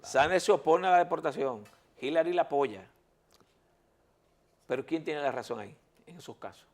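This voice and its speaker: A man's voice: a little high, animated, normal speed, a little mellow. A man, a lawyer guest on a talk show, arguing insistently and repeating words to hold the floor. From Dominican Republic. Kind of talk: heated talk-show debate.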